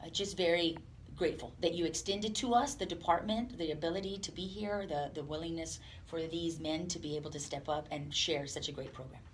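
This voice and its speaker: A woman with a distinctly low voice speaks off the cuff in an interview. She is earnest and halting in her phrasing, and light room noise sits behind her. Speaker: police department representative, interview guest. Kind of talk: unscripted interview talk. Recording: clear background room noise.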